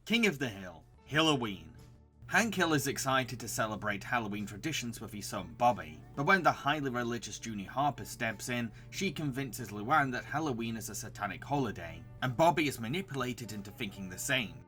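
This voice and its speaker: A man's voice: medium pitch, sing-song, fairly quick, pushed and bright, faint music behind. A man reading scripted narration as a video reviewer.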